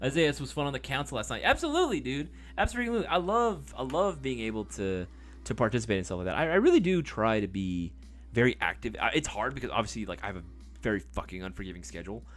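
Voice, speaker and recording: A man's voice: mid-range voice, big pitch swings, quick pace, fairly bright tone, soft background music. A man hosting a live stream in casual chat, reading viewer comments, rambling with many fillers and casual swearing.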